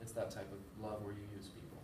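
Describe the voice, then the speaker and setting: A man's voice: fairly low pitch, monotone, fast. A young man from the United States gives a casual, informative class presentation, with a few fillers and self-deprecating asides. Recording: echoey room, far from the mic, with background noise.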